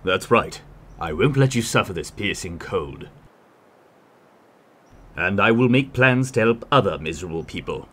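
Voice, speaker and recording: A man playing a kind, regal king in an animated fairy tale, speaking in a formal, declarative way. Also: low-pitched, measured pace, pauses often, full character voice. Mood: benevolent. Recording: music under the voice.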